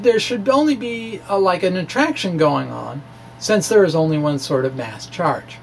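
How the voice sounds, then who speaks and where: A person presenting a science talk as a dramatic reading, in a conversational, explanatory way with casual asides. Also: mid-range voice. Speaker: amateur physicist presenting. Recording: clearly noisy recording with hum.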